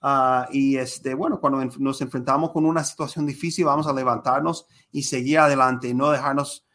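A man's voice: mid-range voice, steady tone, fairly quick, fairly bright tone. A man hosting an interview podcast, talking with many hesitations and fillers. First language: Spanish. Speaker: podcast host. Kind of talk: conversational interview.

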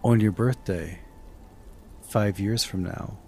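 A shaken fortune-telling woman played by a man in a spooky campfire tale. She speaks haltingly and gravely, with ominous weight, over music. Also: fairly low pitch, darkish tone, pitched below the performer's own voice. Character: shaken female fortune teller with grim news.

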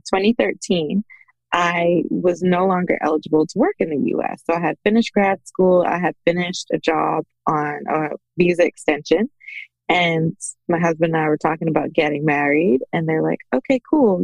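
Woman with creaky, lowish voice, telling stories. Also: normal speed, no room sound, muffled recording. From Bahamas. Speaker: podcast guest, small business owner. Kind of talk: conversational personal storytelling in an interview.

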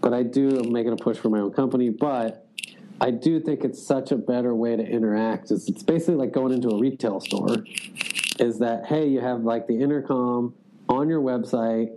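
A man's voice: mid-range voice, fairly quick, dark tone, a little hiss or hum, poor audio. A man in a casual interview, speaking loosely, with lots of "like" and restarts. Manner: loose, filler-heavy, frequent "like". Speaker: sales executive guest.

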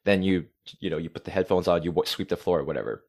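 An energetic man, a podcast guest talking casually and swearing freely. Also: low-pitched, fast talker, vocal fry. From United States. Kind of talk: casual conversation.

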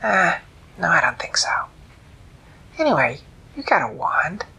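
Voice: mid-range voice, big pitch swings, measured pace, creaky, sharp tone, breathy edge, pitched above the performer's own voice, heavily acted. Storyteller doing a smug whispery jackal trickster. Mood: teasing. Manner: smug, teasing.

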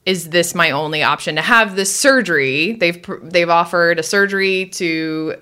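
A woman's voice: on the low side, pushed and bright, smooth. A woman co-hosting a conversational podcast, guiding the discussion and putting questions to her partner. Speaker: co-host asking questions.

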